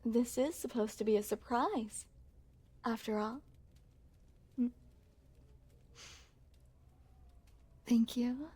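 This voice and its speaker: Yandere princess, female performer, ASMR roleplay: high and unhurried, regal diction, coy sweetness with possessive menace. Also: dark tone, husky. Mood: coy, teasing. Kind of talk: ASMR roleplay, scripted monologue to the listener.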